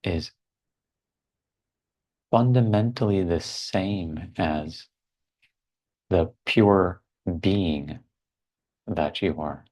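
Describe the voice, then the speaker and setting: A man leading a guided meditation in a measured, deliberate way, leaving long pauses between short phrases. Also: deep voice, a little mellow.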